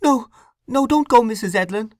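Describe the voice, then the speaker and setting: A woman's voice: mid-range voice, normal speed, no echo, studio quality, voice pushed up, natural voice. A woman voicing a young, distraught woman torn by conscience, pleading and breathless. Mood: fearful.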